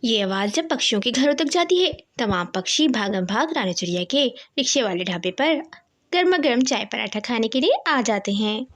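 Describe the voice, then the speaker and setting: A woman tells a children's cartoon story from a script. Her voice is high, with animated, swooping intonation. She narrates expressively, with a dramatic storyteller's delivery. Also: normal speed.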